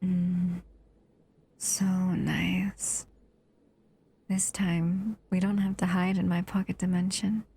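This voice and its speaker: Monster girlfriend, female performer, intimate roleplay: slow, crackly murmur, breathy, protective, possessive and adoring. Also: fairly low pitch, level tone, mellow, heavily acted. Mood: cozy, intimate. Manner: tender, unhurried, doting murmur. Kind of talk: intimate audio roleplay addressed to the listener.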